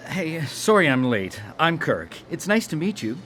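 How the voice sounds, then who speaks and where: A man's voice: fairly high pitch, very animated, a little slow, pushed and bright, full character voice. A man playing an awkward, stammering, defensive blind-dater in a radio drama. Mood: nervous.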